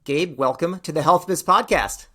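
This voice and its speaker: A man's voice: fairly high pitch, normal speed, sharp tone. A man hosting a business podcast, asking friendly, rambling questions with restarts. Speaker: podcast host. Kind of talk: interview hosting.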